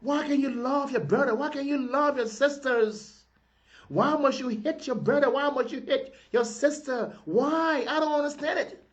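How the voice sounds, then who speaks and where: A man's voice: extremely high pitch, normal speed, lo-fi sound. A man from Liberia giving an impassioned video address to his compatriots, preaching in repeated rhetorical appeals. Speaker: political advocate addressing compatriots.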